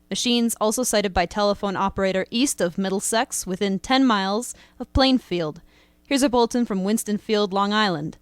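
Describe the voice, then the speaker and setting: Woman playing a crisp radio news announcer. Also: mid-range voice, no echo, close to an everyday voice. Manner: formal, urgent bulletin delivery. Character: composed female radio news announcer reading war bulletins. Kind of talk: 1930s radio news acting.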